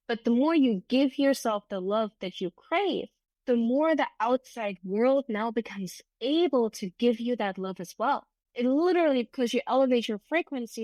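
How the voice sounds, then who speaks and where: A woman's voice: on the high side, dry recording. A middle-aged woman whose first language is German, hosting an unscripted solo podcast in an earnest, reflective way, often restarting her sentences. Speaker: podcast host and business coach.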